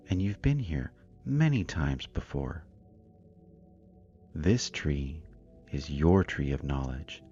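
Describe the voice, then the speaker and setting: A man narrating a scripted guided meditation in a calm, soothing, deliberate manner. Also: rumbling low, highs cut off. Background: meditation music with singing bowls.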